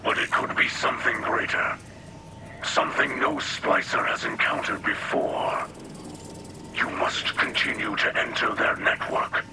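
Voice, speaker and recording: An actor playing an earnest alien mystic and mentor in a video game, speaking in formal, poetic, deliberate phrases. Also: male voice, very noisy, close to an everyday voice. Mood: solemn, troubled. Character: earnest, spiritual alien Fallen splicer mystic, patient mentor. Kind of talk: video game cutscene dialogue. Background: music.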